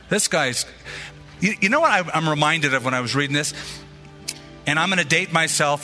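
A man's voice: a little high, sharp tone. A man preaching a sermon, telling stories and acting out the voices of people he quotes. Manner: storytelling, acts out quoted voices. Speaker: pastor. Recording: long hall reverb.

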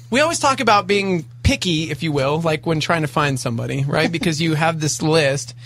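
A man co-hosting a casual podcast, animated and jokey. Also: on the high side, dry recording. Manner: animated, jokey, quick to exaggerate. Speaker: podcast co-host. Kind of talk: casual chat.

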